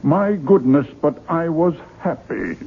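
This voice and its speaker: An actor playing an earnest, courteous middle-aged book publisher who is easily exasperated, in 1970s radio drama style. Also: male voice, fairly high pitch, lively intonation, slow, slight background noise. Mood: exasperated, incredulous. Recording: 1970s radio broadcast, narrow phone-like band.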